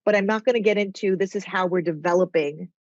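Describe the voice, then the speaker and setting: A woman's voice: medium pitch, quick pace, no room sound, lo-fi sound. A middle-aged woman, a TV writer and former executive, talking as a podcast guest in a nasal, rambling, self-interrupting way. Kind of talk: casual conversational interview.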